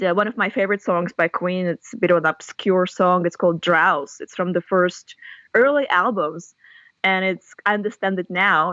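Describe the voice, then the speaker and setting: A woman's voice: lowish voice, no room sound. A woman from Russia, speaking English as a second language with a Russian accent, telling stories in a casual interview with lots of laughs and restarts. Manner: animated storytelling, frequent laughs and restarts. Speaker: guest author. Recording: dull lo-fi remote audio.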